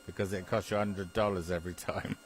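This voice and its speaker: A British man with a fairly low voice talks casually as a hobbyist among friends. He hesitates and repeats himself in an easygoing technical chat, with a low mains hum on the recording. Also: little pitch movement, fast talker. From Britain. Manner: hesitant, chuckling, repeats phrases. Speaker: amateur radio enthusiast.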